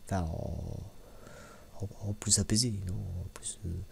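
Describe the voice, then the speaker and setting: A soft-spoken man gives casual ASMR-style commentary, halting and rambling, repeating words and using slang. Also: deep voice, steady tone, creaky, a little hiss or hum. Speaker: ASMR video creator.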